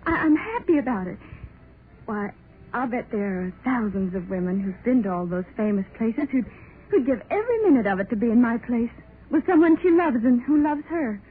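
A woman's voice: a little high, sing-song, moderate pace, husky, full character voice. A young woman playing an adoring, emotional bride in a sentimental, breathless 1930s radio drama style. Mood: tender. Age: young adult. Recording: thin, muffled 1930s radio recording.

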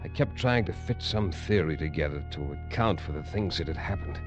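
Lead: low-voiced man, hard-boiled first-person narrator, old radio, dull sound with faint music. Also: animated, a little fast. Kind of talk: 1950s radio drama narration.

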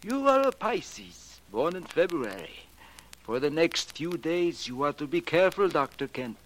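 Slow-talking, superstitious foreign janitor. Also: male voice, on the high side, sing-song, a bit bright. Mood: ominous warning. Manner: formal uncontracted English with ominous pronouncements. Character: earnest, humble foreign janitor who believes in astrology. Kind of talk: 1940s radio drama acting. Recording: noisy vintage 1940s radio recording.

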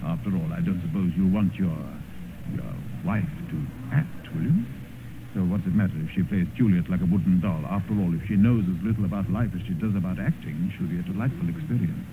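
Deep-voiced, suave cynical gentleman. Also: a little fast. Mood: languid, dismissive. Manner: suave, drawling, amused, epigrammatic. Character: worldly, cynical English gentleman, older mentor and corrupter. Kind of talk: 1950s radio drama acting and first-person narration. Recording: muffled, hissy old radio broadcast.

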